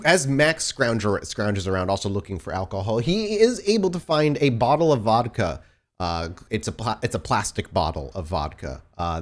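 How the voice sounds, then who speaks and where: A man acting as game master, improvising descriptive, halting narration for a tabletop roleplaying game. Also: on the low side.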